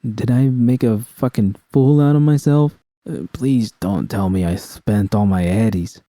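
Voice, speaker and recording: A man voicing a groggy, foul-mouthed young woman who talks haltingly in an audiobook story. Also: fairly low pitch, dark tone, dry recording, studio quality, lightly acted. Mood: groggy, anxious. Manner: halting, swearing.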